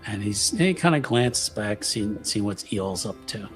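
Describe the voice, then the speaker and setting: Male tabletop player, animated intonation, casual and jokey improvised description, music behind. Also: lowish voice, a little slow. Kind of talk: casual improvised game chat.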